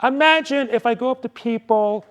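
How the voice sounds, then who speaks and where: A man in his forties with a high-pitched voice delivers a prepared speech to a club. He speaks deliberately and emphatically, repeating key words and posing rhetorical questions. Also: fairly bright tone. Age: 40s. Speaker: club member giving a speech.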